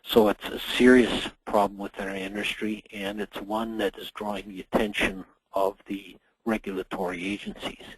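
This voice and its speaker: A middle-aged man, hoarse from a cold, presenting a workplace safety webinar in a measured way with frequent pauses. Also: on the low side, lively intonation, dry recording, rough recording. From Canada. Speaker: association general manager presenting a webinar.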